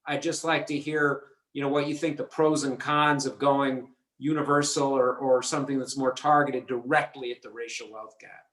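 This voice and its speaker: A man's voice: mid-range voice, level tone, moderate pace, hardly any pauses, fairly bright tone, echoey room, low-quality recording. A man asking a long, rambling question after a lecture, hesitant and full of restarts, over a video call. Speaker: audience member asking a question.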